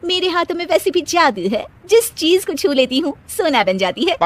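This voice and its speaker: A boastful young housewife in a cartoon story, acted out by a woman narrator. Her voice sits high and she is sassy, bossy and quick to scold, very pleased with herself. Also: very animated. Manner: sassy, scolding, self-satisfied.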